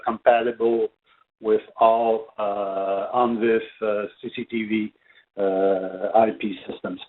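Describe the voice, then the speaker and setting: A man giving an unscripted technical product presentation, speaking haltingly with very frequent filled pauses. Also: mid-range voice. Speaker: product trainer presenting for a company. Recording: narrow, tinny phone-like line.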